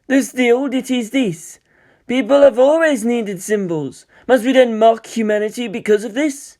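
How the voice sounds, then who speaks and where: A man plays an old mountain monk from a storybook, wise and patient. The speech is formal and measured, as a philosophical teacher would give it. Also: extremely high pitch, darkish tone, pitched above the performer's own voice. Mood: solemn, patient.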